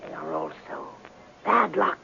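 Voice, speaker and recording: A man playing an eccentric, greedy palmist woman in a radio drama, with halting, melodramatic mystical pronouncements. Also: extremely low pitch, huge pitch swings, pushed and bright, full character voice. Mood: entranced, dramatic. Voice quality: creaky. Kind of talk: 1970s radio drama acting. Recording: dull, slightly noisy 1970s radio recording.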